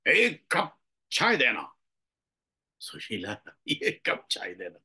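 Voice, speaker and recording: A man's voice: mid-range voice, a bit bright. A man teaching a public speaking class, stressing key words emphatically and mixing in English terms. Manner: mixes English terms and phrases into Telugu. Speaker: public speaking and voice modulation trainer. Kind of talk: interactive online class teaching.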